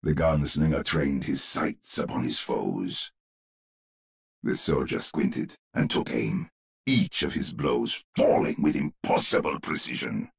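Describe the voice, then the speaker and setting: Gravelly, theatrical gothic storyteller. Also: male voice, very deep voice, slow, full character voice. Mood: ominous, measured. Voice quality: gravelly, husky. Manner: theatrical, ominous, savouring each phrase. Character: grave old storyteller man narrating a gothic horror tale, theatrical. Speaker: narrator. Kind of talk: dramatic scripted storybook narration for a video game.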